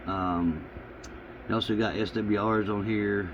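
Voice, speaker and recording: A man gives a casual, unscripted walkthrough of his equipment in a halting, rambling, plain-spoken way, recorded in a room at home. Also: lowish voice, fairly even intonation. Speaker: hobbyist vlogger showing radio gear. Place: small home radio room, indoors. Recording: muffled lo-fi audio with background noise.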